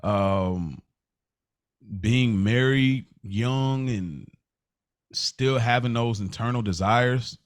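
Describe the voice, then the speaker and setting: A man's voice: mid-range voice, extremely slow, no room sound, clean studio sound. A man co-hosting a podcast, talking candidly and reflectively in a casual, slangy way. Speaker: podcast co-host.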